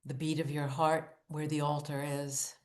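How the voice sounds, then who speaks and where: A woman with a low voice leads a guided meditation at a very slow pace. She sets down short, deliberate phrases and leaves long pauses between them. Her delivery is hushed and soothing, with an incantatory repetition. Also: level tone. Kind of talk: guided visualization, meditative narration.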